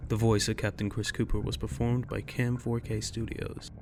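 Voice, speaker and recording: A man's voice: fairly low pitch, little pitch movement, normal speed, creaky. A man reading a scripted end-credits announcement as the announcer for an audio drama.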